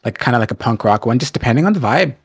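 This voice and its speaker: A young man with a soft, soothing voice, chatting easily as a podcast guest. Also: medium pitch, expressive, rapid-fire, gravelly, breathy edge, no echo, clean studio sound. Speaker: podcast guest, game streamer. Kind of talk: casual podcast chat.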